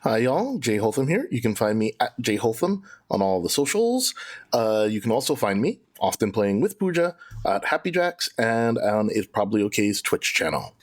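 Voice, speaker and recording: A man's voice: lowish voice, big pitch swings, moderate pace. A man talking casually as a player on a tabletop role-playing podcast. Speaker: player on actual-play podcast. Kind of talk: casual chat.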